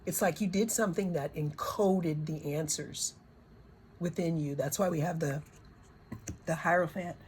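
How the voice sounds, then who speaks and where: A woman reads oracle cards in a hoarse, lowish voice, taking her time over every phrase. She circles back and repeats words as she searches for what to say. A faint background hiss sits under her voice. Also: measured pace, darkish tone. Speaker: tarot and oracle card reader. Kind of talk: slow, reflective spiritual card reading.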